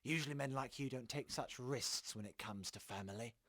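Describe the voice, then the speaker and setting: An actor playing a menacing, smugly polite government enforcer in an audio drama, speaking formally and condescendingly. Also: male voice, mid-range voice, a little fast, fairly bright tone, natural voice. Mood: smug menace. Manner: formal, condescending, veiled threats.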